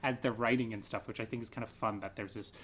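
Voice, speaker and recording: A man's voice: fairly low pitch, steady tone, fast talker, fairly bright tone, husky. A man speaking casually as an interview guest on a podcast. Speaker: podcast guest, theatre maker.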